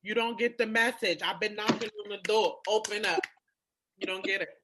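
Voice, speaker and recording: A woman's voice: mid-range voice, steady tone, brisk, noisy background. A woman in a candid, casual podcast conversation, explaining her points emphatically with everyday slang. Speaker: podcast co-host.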